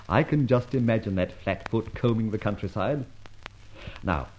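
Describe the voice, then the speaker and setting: An actor playing a debonair, breezy gentleman adventurer in an old radio serial, with brisk, clipped, theatrical speech. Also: on the low side, animated, a little hiss or hum. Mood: musing. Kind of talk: old radio serial acting and first-person narration. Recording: dull vintage radio recording, music behind.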